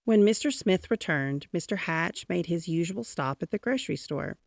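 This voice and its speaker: A woman reads a children's storybook aloud in a fairly low voice with some gravel in it. Her delivery is expressive, stretching out important words for drama. The sound is dry and close. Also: no echo. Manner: dramatic, drawn-out emphasis on key words.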